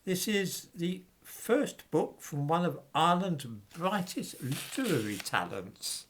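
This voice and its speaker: A man's voice: a little high, sing-song, slow pace, slight background noise. A middle-aged man reading passages from books aloud and commenting on them with dismissive, sarcastic asides.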